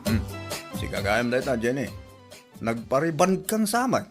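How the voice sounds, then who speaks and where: A man's voice: medium pitch, big pitch swings, moderate pace, over loud music, lightly acted. A man acting a gossipy, disapproving village husband in an Ilocano comedy drama, with a Filipino Ilocano accent. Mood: probing.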